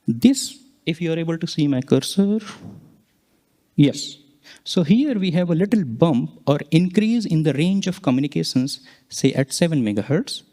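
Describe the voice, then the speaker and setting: Higher-pitched man giving a science talk. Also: mellow. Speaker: conference presenter, researcher. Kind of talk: scientific presentation explaining charts.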